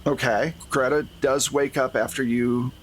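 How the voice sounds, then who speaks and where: A man's voice: mid-range voice, fairly even intonation, measured pace. A man acting as game master, narrating a tabletop role-playing game to the players. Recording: faint background noise.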